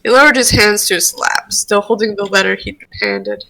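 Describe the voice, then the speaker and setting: A woman's voice: mid-range voice, huge pitch swings, brisk, sharp tone, low-quality recording. A woman reading scripted roleplay narration aloud in an informal way.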